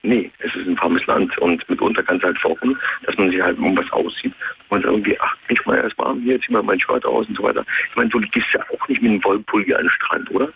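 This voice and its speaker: A man's voice: on the low side, fairly even intonation, fast talker, creaky, a little hiss or hum. A man from Görlitz calling in to a radio show, rambling and evasive, trailing off mid-sentence, over a muffled phone line. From Görlitz, Germany. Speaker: caller. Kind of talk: phone-in chat.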